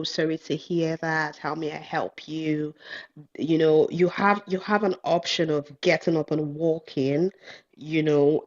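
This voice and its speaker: A woman's voice: low voice, darkish tone. A woman sharing encouraging thoughts in a conversational group discussion. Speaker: panelist. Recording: muffled lo-fi audio.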